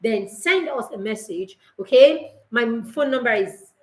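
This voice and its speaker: A woman's voice: fairly high pitch, animated, measured pace, a little mellow, reverberant, slight background noise. A middle-aged woman giving parents a talk, emphatic, repeating her key lines and asking them questions. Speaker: parenting mentor.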